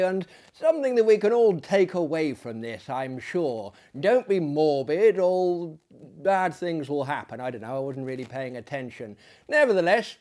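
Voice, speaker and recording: A man performing as a reader of dramatic tales in a hammy, declamatory manner that swells and drops to hushes. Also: a little high. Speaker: reader and host of dramatic readings. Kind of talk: theatrical dramatic reading of a gothic story.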